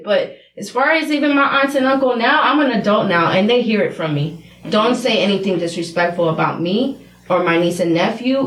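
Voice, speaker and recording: A woman's voice: medium pitch, far from the mic, slight background noise. A woman in her thirties in a candid group discussion, telling her story bluntly and with passion. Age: 30s. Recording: roomy sound, away from the mic.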